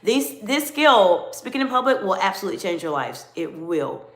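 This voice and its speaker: A middle-aged American woman coaching leaders in a livestream, animated and greeting viewers by name. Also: mid-range voice, moderate pace, roomy sound. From United States. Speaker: executive coach. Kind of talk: livestream talk with chat.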